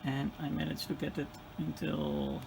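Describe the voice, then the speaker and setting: A Dutch-accented man in his forties talks slowly through a repair at his workbench, hesitating as he goes. His voice is gravelly and rises and falls a lot. Steady room noise sits clearly behind him. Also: a little high. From Netherlands. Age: 40s. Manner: thinks aloud step by step, hesitant with fillers. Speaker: hobbyist repairing a CB radio. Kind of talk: hands-on repair vlog.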